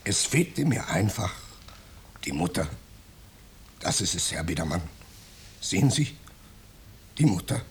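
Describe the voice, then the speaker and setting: A wheedling, swooping, low-voiced vagrant. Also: male voice, big pitch swings, unhurried, long pauses, heavily acted. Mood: plaintive, reproachful. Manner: ingratiating, mock-humble, plaintive. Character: wheedling, self-pitying male vagrant, falsely humble houseguest. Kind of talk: 1950s German radio drama acting. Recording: noisy, clicking 1950s radio recording.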